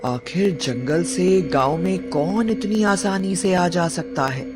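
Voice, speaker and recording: A woman's voice: fairly low pitch, takes it slowly, over music. A woman storyteller reading the part of a worried, puzzled village headman in a questioning manner.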